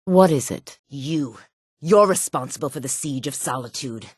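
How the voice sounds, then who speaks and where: Sultry, low-voiced devoted assassin. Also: female voice, lively intonation, moderate pace, darkish tone, slightly rough, no room sound, clean studio sound. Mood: angry. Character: devoted, sultry female assassin, obedient to her leader. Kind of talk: fantasy video game voice acting.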